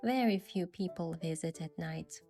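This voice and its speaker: A woman playing a gentle, thoughtful young heroine, narrating her game story softly and in measured scripted first person. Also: fairly low pitch, soft background music, lightly acted.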